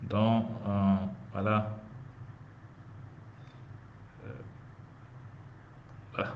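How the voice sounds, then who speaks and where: A man's voice: fairly low pitch, level tone. Chatty man on a video call. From Cameroon. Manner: chatty, halting, calls out to viewers. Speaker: host of a student livestream. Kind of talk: casual livestream chat. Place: small indoor room. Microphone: headset on a video call. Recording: muffled video-call audio, faint hiss.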